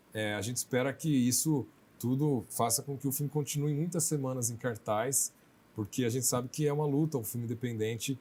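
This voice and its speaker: A man's voice: medium pitch, dark tone. A Brazilian man, a film director, answering interview questions in a conversational way, telling things through little quoted asides. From Brazil, outside Maranhão.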